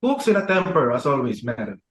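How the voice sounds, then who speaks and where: A man's voice: medium pitch, sing-song, a little fast, close to an everyday voice. A young man reading the part of a deferential butler, polite and formal. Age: young adult. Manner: polite, formal, addresses madam. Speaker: reader for Dunham. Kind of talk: student dramatic reading.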